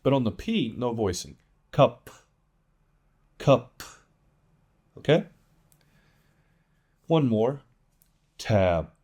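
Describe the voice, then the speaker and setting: A man giving an English pronunciation lesson as a teacher, enunciating example words with deliberate emphasis. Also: medium pitch, big pitch swings, mellow, slightly rough. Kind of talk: instructional lesson with demonstrated words.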